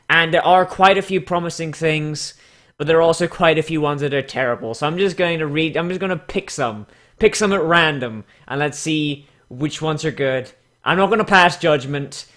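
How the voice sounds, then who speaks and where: A man's voice: on the high side, normal speed, a bit bright. A man giving casual comedic commentary and mock dramatic readings in a sarcastic, theatrical, foul-mouthed way. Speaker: YouTube host.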